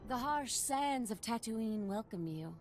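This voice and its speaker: A woman's voice: on the high side, animated, measured pace, over music. A woman voicing an eccentric, deferential desert guide in a video game, formal and mysterious as she tells her tale. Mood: reverent intrigue. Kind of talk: scripted video game acting.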